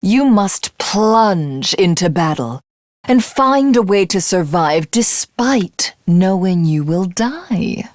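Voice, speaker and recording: A woman voicing a proud, cold warrior commander in a video game, speaking in a formal, commanding and measured way. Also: mid-range voice, animated, a little mellow, dry recording, clean studio sound. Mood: calm, philosophical. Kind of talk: video game character voice acting.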